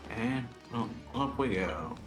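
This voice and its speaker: Slow, laid-back gaming commentator man. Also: medium pitch. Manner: relaxed, laid-back mumbled remarks. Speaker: gaming channel host. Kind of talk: let's play commentary. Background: game music.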